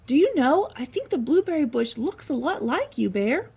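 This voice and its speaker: A woman's voice: sits high, big pitch swings, normal speed, gravelly, dark tone, pitched above the performer's own voice, full character voice. A woman voicing a wise, motherly female porcupine who exclaims eagerly.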